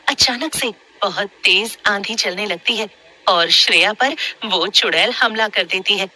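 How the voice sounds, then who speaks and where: A woman storyteller reads a scripted horror tale. Her voice sits at a middle pitch with a very bright, intense edge. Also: poor audio.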